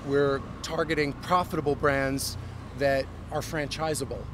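American CEO pitching on a noisy street. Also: male voice, fairly even intonation, unhurried. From United States. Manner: fluent corporate pitch with frequent um and uh. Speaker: company CEO. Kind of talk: street interview. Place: busy downtown street outdoors. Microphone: handheld mic held by interviewer. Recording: outdoor street with traffic noise. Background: city traffic.

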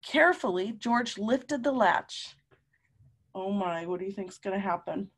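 A woman in her fifties reading a story aloud to young children, with animated, drawn-out emphasis and questions to her listeners. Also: mid-range voice, slightly rough. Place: room at home.

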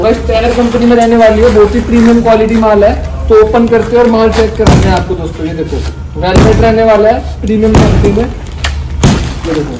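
Casting: North Indian man, twenties, high voice, warehouse seller's repetitive patter to camera, noisy background. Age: 20s. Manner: repetitive salesman's patter, addressing viewers as friends. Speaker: wholesale clothing seller and vlogger. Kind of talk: informal sales pitch to camera. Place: large indoor warehouse full of clothing bales. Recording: echoey room with clear background noise.

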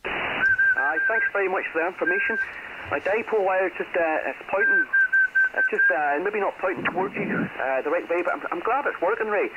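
A man's voice: high voice, huge pitch swings, fairly quick. A man with a British accent chatting casually as a ham radio caller, heard through a shortwave radio transmission. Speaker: amateur radio operator, caller. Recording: noisy, muffled shortwave radio reception.

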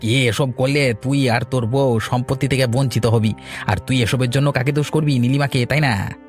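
A man's voice: medium pitch, fairly even intonation, normal speed, faint music behind, lightly acted. Man acting a sly, scheming village uncle. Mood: sly, insinuating. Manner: sly, hesitant, coaxing with tag questions. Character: scheming, greedy village uncle who sows quarrels. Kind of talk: cartoon voice acting.

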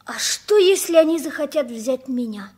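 A woman's voice: high voice, lively intonation, moderate pace, a little mellow. An actress playing an earnest, hopeful nine-year-old orphan boy in a radio play, childlike and wistful. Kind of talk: 1960s Soviet radio play acting.

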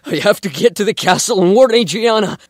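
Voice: medium pitch, brisk, dry recording, clean studio sound, lightly acted. An actor playing an earnest, kind and protective young man in an audio drama, speaking with emphasis. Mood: earnest, worried.

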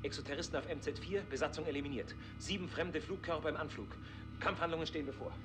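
A brusque starship commander played by a man snaps out orders at speed in a high-pitched voice. It is vintage sixties TV science fiction, with music behind the clipped, pressured delivery. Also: quick pace, natural voice. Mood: tense, commanding. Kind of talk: 1960s German TV science fiction acting. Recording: 1960s TV soundtrack with loud music.

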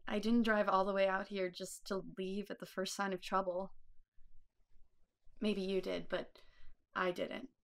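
An actress playing an ambitious, stubborn young performer, acted naturalistically for film. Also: female voice, medium pitch, level tone, measured pace, pauses often, natural voice. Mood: determined. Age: 20s. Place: indoors in a wooden cabin.

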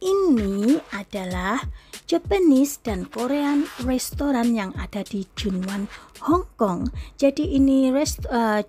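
A young Indonesian woman vlogging casually about a buffet restaurant, chatty and enthusiastic, talking to her followers. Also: a little high. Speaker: food vlogger. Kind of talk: casual food vlog narration. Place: busy indoor buffet restaurant.

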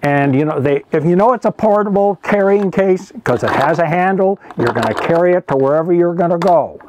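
A man in his seventies giving an informal show-and-tell as an archivist, speaking in a halting, self-correcting, explanatory way. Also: high-pitched, expressive, noisy background. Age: 70s. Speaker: archivist presenting a collection. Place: indoor archive room with packed shelves. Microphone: lapel mic.

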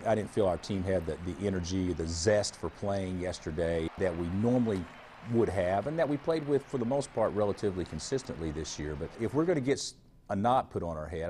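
A man's voice: deep voice, darkish tone, slight background noise. A man in his forties, a college basketball coach, answering TV interview questions frankly, often restarting and repeating words. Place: studio remote booth.

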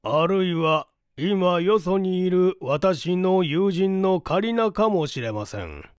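A man voicing a mild, sheepish gentleman and father in an audiobook, speaking in a deliberate, self-deprecating way. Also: high-pitched, lively intonation, clear, resonant tone, no room sound, studio quality. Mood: musing. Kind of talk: audiobook character reading.

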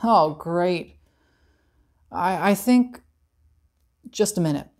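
Brief: a young man playing a frazzled fair official, exasperated and quick on the phone. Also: high-pitched, expressive, darkish tone, exaggerated voice.

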